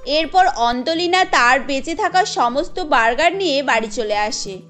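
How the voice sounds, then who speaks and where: A woman's voice: high-pitched, animated, moderate pace, fairly bright tone, faint music behind. A woman reading scripted narration for a cartoon story as its storyteller.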